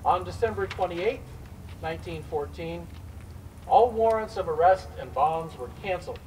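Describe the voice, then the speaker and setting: A man playing a formal early 1900s immigration inspector in a staged reading, speaking in a measured, deliberate way as he delivers official rulings. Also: sits high, animated, darkish tone. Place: live staged reading before an event audience. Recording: distant mic, faint noise, live event.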